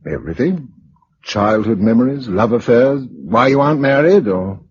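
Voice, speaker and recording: An actor playing a wry, courteous British survivor in a radio drama, with a dry, urbane, teasing delivery. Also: male voice, medium pitch, animated, moderate pace, close to an everyday voice. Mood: gentle, curious. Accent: British English. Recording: dull, noisy old radio recording.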